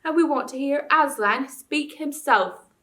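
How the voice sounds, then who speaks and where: A woman voicing an old male bear who speaks slowly and plainly. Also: sits high, pushed and bright. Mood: protesting.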